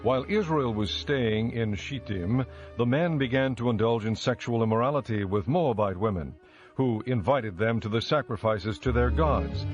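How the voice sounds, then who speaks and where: A man's voice: medium pitch, moderate pace. A man narrating scripture as a scripted dramatized audio book. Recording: dull lo-fi audio with music. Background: music.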